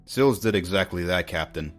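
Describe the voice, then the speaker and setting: An actor playing a brooding war-veteran police detective with a grave, hardboiled manner in a noir audio drama. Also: male voice, low-pitched, normal speed, soft background music, lightly acted. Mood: deferential, concerned.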